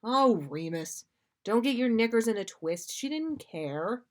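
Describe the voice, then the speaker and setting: A cheeky, coaxing boyfriend, played by a woman reading fan fiction aloud. The delivery is slow and teasing, with a playful, wheedling manner. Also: fairly high pitch, expressive, a little mellow, pitched above the performer's own voice.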